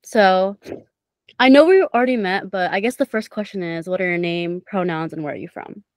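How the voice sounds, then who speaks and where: A woman's voice: mid-range voice, normal speed, a little mellow, no echo. A young woman from Indonesia conducting a casual interview, asking prepared questions. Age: young adult. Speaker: interviewer, student.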